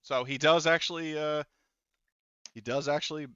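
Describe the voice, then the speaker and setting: A man's voice: fairly high pitch, steady tone, moderate pace, a bit bright, clear, resonant tone, no echo, highs cut off. A man acting as game master in a tabletop roleplaying game, thinking aloud through rules and dice math.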